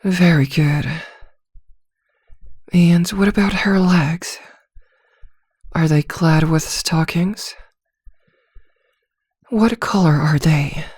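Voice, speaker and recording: A woman playing a vampire posing as a therapist in an ASMR roleplay, speaking softly and soothingly with quiet command, often near a whisper, close to the mic. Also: on the low side, drawn-out words, pauses often, dry recording, full character voice. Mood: soothing, coaxing. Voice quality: soft, breathy, often slipping into whisper. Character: female vampire posing as a calm, teasing, controlling therapist. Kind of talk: ASMR roleplay, slow guided hypnosis. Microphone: close microphone, dry.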